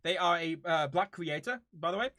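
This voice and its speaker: A man's voice: on the high side, moderate pace, bright, forceful tone, dry recording, clean studio sound. A man of about thirty chatting casually to viewers as a channel host, in a playful, theatrically mock-serious way. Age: around 30.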